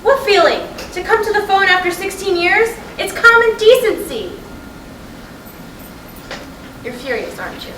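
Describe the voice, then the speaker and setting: A woman acting a restless, sharp-tongued middle-aged wife in a staged reading of a play, expressive and pointed. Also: extremely high pitch, a little fast. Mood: indignant. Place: indoor live reading at a college. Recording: echoey room from far off, noisy.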